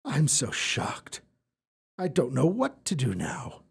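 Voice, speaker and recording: A man's voice: medium pitch, wildly swooping pitch, slow, vocal fry, breathy edge, heavily acted. A man playing an easily shocked, earnest university professor in an audio drama, speaking dramatically. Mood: subdued, earnest.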